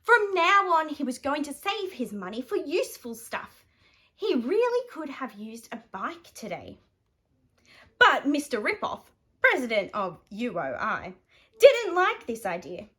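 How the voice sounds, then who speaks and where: An Australian woman reading a children's picture book aloud, animated and dramatic with playful emphasis. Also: high voice, unhurried. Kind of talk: expressive children's bedtime story reading.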